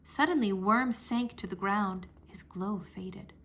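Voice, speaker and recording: A woman narrating a children's adventure book aloud with expressive, dramatic phrasing. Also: mid-range voice, takes it slowly. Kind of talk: children's storybook read-aloud.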